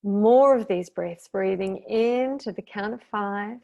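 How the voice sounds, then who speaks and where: Slow-paced woman guiding a meditation. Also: mid-range voice, vocal fry, lo-fi sound. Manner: soothing, gentle, with long pauses between short phrases. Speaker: guided meditation teacher and intuitive therapist. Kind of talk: guided meditation, slow calming instruction.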